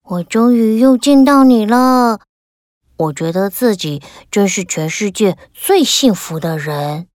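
A woman's voice: medium pitch, lively intonation, slow pace, darkish tone, no room sound, clean studio sound. A woman voicing a brave, lovesick little male tin soldier toy in a children's audio story, speaking in an earnest, tender, plaintive way.

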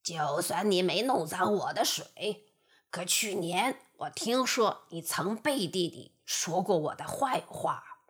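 A woman voicing a sly, cruel male wolf who talks in a low, sneering and menacing way. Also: expressive, slow.

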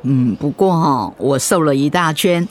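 An actress playing a gentle, hesitant middle-aged mother in a radio drama. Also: sits low, animated, measured pace. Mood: hesitant, worried. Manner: gentle, hesitant, conversational with soft fillers.

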